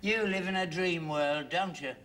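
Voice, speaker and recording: Cantankerous old London father, sharp-voiced. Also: high-pitched, normal speed, a little hiss or hum. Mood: grumbling, gloomy. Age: older. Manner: grumbling, blunt, indignant. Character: cantankerous, stubborn, patriotic old working-class father. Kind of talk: British sitcom acting.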